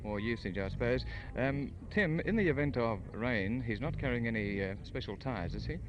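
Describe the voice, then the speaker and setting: A man's voice: medium pitch, lively intonation, moderate pace, dull audio. A man giving formal, hesitant live motor racing commentary and interviews in the manner of 1950s radio. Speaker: radio sports broadcaster. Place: outdoors trackside at a motor racing circuit. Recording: noisy 1958 outdoor radio broadcast. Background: racing cars and engine noise.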